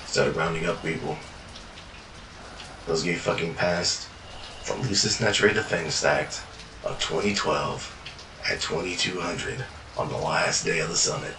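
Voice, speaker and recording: A man's voice: sits low, a little slow, long pauses. A man narrating a first-person fiction journal in a weary, world-worn way, swearing often. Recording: echoey room, distant mic, noisy.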